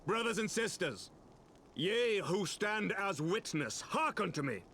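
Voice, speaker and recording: An actor playing a noble, solemn leader of knights in a fantasy game, speaking formal archaic lines in a measured, earnest way. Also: male voice, high voice, expressive, fairly bright tone, slight background noise, exaggerated voice. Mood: rousing.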